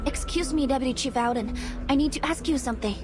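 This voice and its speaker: A woman's voice: high-pitched, fast talker, over music, close to an everyday voice. A woman playing an earnest, dutiful young police officer, polite, sincere and resolute. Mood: anxious, polite.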